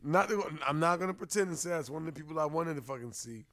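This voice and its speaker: A man co-hosting a podcast, chatting casually in an excitable way and cutting in often. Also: on the high side, fast talker, no room sound, clean studio sound. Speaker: podcast co-host. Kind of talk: casual chat.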